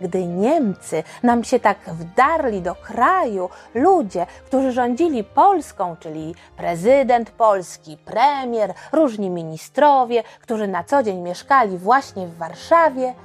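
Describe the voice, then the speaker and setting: A woman's voice: mid-range voice, husky. A woman narrating a history story for children in a conversational, explanatory way with dramatic emphasis. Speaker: children's history podcast host.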